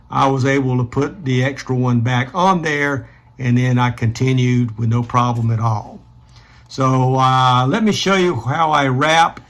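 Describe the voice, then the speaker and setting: A man hosting a casual hands-on DIY tutorial, explaining step by step in an unhurried way. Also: medium pitch, fairly bright tone. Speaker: DIY hobbyist host.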